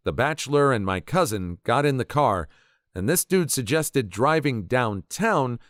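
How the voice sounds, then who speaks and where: A man narrates a string of stories in a medium-pitched voice that swings widely and expressively. The sound is dry and close, with no room around it. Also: no echo. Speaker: story narrator. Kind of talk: reading internet stories aloud.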